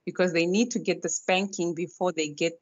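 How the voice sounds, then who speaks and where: Woman calling in, agrees a lot and laughs, middle pitch, muffled dry line. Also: fairly even intonation, fairly quick, dry recording. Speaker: caller. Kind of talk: phone-in chat.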